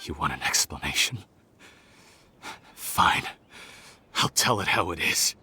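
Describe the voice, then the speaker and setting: A man's voice: extremely low pitch, lively intonation, slow, pushed and bright, a little hiss or hum, heavily acted. A man voicing a bitter, desperate criminal in a video game, dramatic and sardonic with theatrical self-pity. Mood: bitter, mocking.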